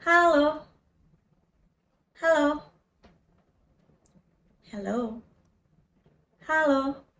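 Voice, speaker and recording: A young Indonesian woman with an Indonesian accent, presenting a language and culture lesson in a slow, deliberate way and demonstrating phrases. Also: sits high. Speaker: language teacher. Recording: roomy echo with soft music.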